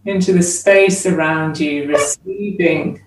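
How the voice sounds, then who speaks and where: Slow-paced woman guiding meditation in a roomy space. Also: lowish voice, background noise. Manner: measured, with long pauses between short phrases. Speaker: meditation teacher. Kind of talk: guided meditation, slow spoken instruction. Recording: roomy echo, distant microphone.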